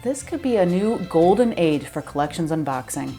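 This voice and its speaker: A woman's voice: on the low side, expressive, normal speed, mellow, faint music behind. A woman co-host doing light scripted skit banter. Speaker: show co-host.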